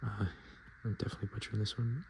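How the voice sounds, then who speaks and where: A man softly speaking and whispering in a casual, murmuring ASMR style, as host showing items to the viewer. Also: lowish voice, level tone, very fast, background noise. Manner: hushed, casual murmured asides. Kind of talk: ASMR, softspoken and whispered card show-and-tell.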